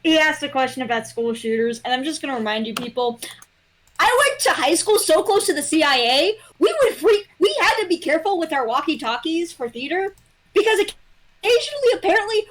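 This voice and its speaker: A woman's voice: very high voice, lively intonation, a little fast, fairly bright tone. A young woman guesting on a livestream, chatting casually and telling anecdotes over a slightly noisy line.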